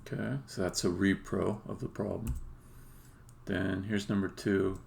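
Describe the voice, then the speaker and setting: A man with a lowish voice and heavy vocal fry talks through his coding on a live stream. He is blunt and unscripted, thinking aloud in fragments, with faint noise and keyboard clicks behind him. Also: flat delivery, measured pace, darkish tone.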